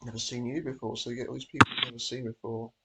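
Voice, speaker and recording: Hoarse man with a cold, gravelly. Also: mid-range voice, little pitch movement, rapid-fire, very noisy. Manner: rambling, half-muttered thinking aloud. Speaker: host. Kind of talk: casual commentary while playing online poker.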